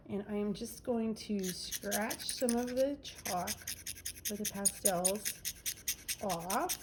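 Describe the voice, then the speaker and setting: Brief: woman, nasal, unhurried crafting vlogger talking through each step, faint hiss behind. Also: mid-range voice. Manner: chatty, narrates each step while working. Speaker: craft demonstrator. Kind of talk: casual crafting demo.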